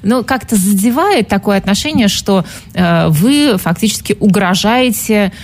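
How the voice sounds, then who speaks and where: A woman hosting a radio talk show in a conversational, gently teasing way with probing questions. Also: mid-range voice, expressive, a little slow. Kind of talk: conversational on-air presenting.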